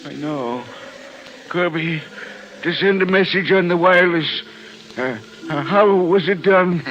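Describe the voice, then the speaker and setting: An actor playing a fearful, haunted man telling of meetings with the dead, halting and dramatic, in a 1940s-style radio mystery. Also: sits high. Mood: uneasy. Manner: halting, dramatic, hushed storytelling. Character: haunted, fearful man narrating his graveyard encounter. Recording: dull lo-fi old radio recording, music.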